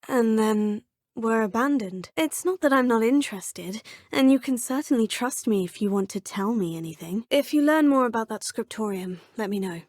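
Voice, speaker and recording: Young British witch student: polite, composed, a touch formal, fairly high voice, dry studio sound. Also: a little mellow, no echo. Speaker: player character in a video game. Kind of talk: game dialogue lines.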